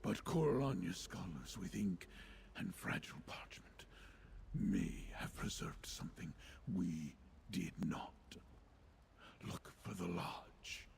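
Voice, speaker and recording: Fantasy game grave old male lore keeper: very gravelly, slow and rueful, faint ambience behind. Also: deep voice, expressive, a little hiss or hum, exaggerated voice. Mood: solemn. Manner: measured, portentous, formal storytelling. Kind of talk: fantasy video game voice acting.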